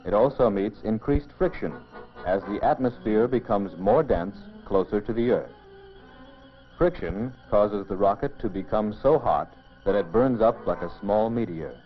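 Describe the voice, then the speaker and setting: A man giving measured, explanatory scripted narration for a mid-century educational film, on a dull old film soundtrack. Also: lowish voice, lively intonation, faint music behind.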